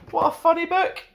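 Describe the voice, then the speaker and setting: A young man presenting and reading for children in an expressive storytime style, recorded indoors at about arm's length from the camera. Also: extremely high pitch, vocal fry, slight background noise. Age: young adult. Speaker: storytime host reading a picture book. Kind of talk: expressive children's read-aloud with friendly presenting. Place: small plain indoor room.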